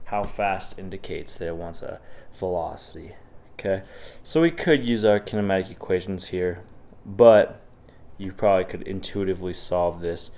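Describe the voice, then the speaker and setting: Deep-voiced, congested man teaching. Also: normal speed, few pauses, darkish tone. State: sick, congested and sniffly. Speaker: physics teacher. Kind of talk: informal video lecture walking through practice problems.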